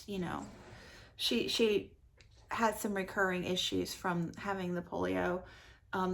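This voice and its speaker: A woman with noticeable vocal fry and an unhurried delivery, chatting off the cuff as a book vlogger. She is enthusiastic and conversational, speaking at a middling pitch. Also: a bit bright. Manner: enthusiastic, conversational, gushing about books. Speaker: book vlogger reviewing her recent reads. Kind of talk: casual, unscripted book chat to camera.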